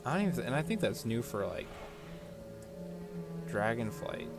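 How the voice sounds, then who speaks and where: Rambling young man over loud game music. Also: medium pitch, sing-song, moderate pace, gravelly. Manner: rambling, profane, reads quest text aloud. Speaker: gaming video creator. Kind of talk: casual game playthrough commentary. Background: video game music.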